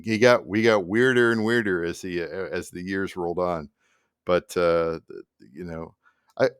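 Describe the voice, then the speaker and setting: A man in his fifties talking casually and haltingly to camera at a desk, explaining things in an informal, digressive way. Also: fairly low pitch, fairly bright tone, no echo, clean studio sound. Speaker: musician and vlogger. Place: small indoor room at a desk.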